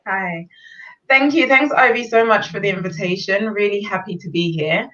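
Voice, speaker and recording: A woman's voice: medium pitch, moderate pace, fairly bright tone. A woman, a storyteller and organization founder, speaking as an interview guest in a talkative, friendly way over a video call. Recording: dull distant call audio.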